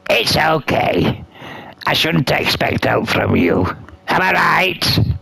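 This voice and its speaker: Someone plays a coy, scholarly outsider in a visual novel. The voice is heavily gravelly with a forceful edge, and the slow, halting lines swing theatrically in pitch. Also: very animated, no room sound, full character voice. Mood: hurt, sulky. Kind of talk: acted visual novel dialogue reading.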